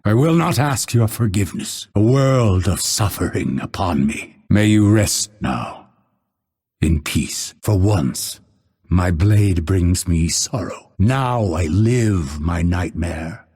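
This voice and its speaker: An actor playing a proud, cold, vengeful assassin in a video game, with a husky voice and measured, haughty, menacing delivery. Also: male voice, sits low, animated, darkish tone, exaggerated voice. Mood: grim sorrow. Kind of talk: scripted game voice lines, theatrical delivery.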